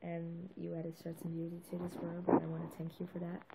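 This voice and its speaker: A woman's voice: on the low side, monotone, fast talker, gravelly, heavy background noise. A young woman from the Netherlands thanking someone sincerely in a fan birthday video, a little hesitant, recorded on a webcam indoors. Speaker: fan sending a birthday message. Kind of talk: video greeting.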